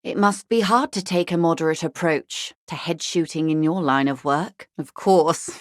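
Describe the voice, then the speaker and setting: An actress playing a worldly, genteel corporate negotiator in a video game, speaking in a dry, ironic, measured and articulate way. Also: female voice, deep voice, no echo, close to an everyday voice. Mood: wry, detached. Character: worldly, wry corporate negotiator woman, coolly detached and genteel. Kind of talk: scripted video game dialogue.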